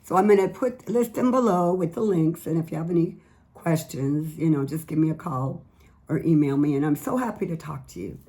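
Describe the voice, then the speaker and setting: A middle-aged woman, a little raspy today, chatting earnestly about skin care products she sells. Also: low voice, brisk, mellow. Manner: chatty, earnest, repeats words. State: slightly raspy voice. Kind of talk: casual product tips vlog.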